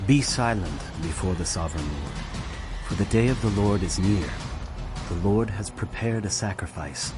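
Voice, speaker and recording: An actor playing a grave prophet proclaiming coming doom, narrating dramatized scripture with solemn, emphatic delivery. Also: male voice, deep voice, animated, normal speed, over music. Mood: ominous, solemn.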